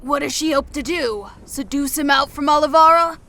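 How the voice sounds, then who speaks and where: A woman's voice: high-pitched, normal speed, sharp tone. A woman voicing a gossipy, scornful female green ogre in a fantasy audiobook. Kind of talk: audiobook character acting.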